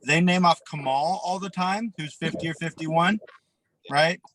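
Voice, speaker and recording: A man's voice: fairly high pitch, unhurried, background noise. A man in his thirties co-hosting a casual podcast, speaking in an earnest, enthusiastic way. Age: 30s. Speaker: podcast co-host.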